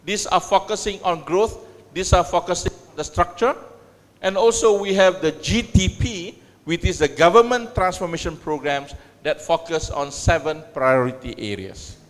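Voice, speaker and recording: A middle-aged man, giving a measured conference talk as a university head and taking questions, with frequent pauses. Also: sits high. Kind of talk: conference speech and Q&A moderation. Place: large university hall. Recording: long hall reverb.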